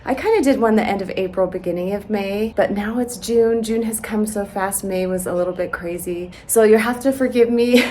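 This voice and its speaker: A woman's voice: mid-range voice, moderate pace, mellow, a little hiss or hum. Sleepy-voiced woman touring her garden. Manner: chatty, laughs often, trails off mid-sentence. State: sleepy and recovering from a cold. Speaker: home and garden vlogger. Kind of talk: casual garden tour walk-through. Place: outdoors in a front yard garden.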